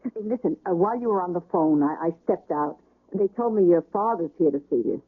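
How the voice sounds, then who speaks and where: An actress playing a chatty, nosy, informal hospital nurse in a radio drama. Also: female voice, on the low side, a little fast, husky. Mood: nosy, friendly. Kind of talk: 1970s radio drama acting. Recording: thin phone-like old radio recording.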